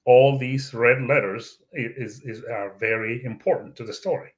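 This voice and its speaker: A man whose first language is Arabic hosts his own channel, giving a Bible teaching talk in a slow, deliberate way with many fillers and restarts. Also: mid-range voice. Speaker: YouTube channel host.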